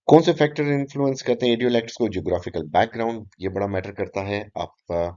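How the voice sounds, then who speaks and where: A male English teacher giving an explanatory lecture, listing points one after another, switching into English terms and pausing on filler sounds. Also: sits low, darkish tone. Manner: frequent filler hesitations between phrases. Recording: dull lo-fi audio.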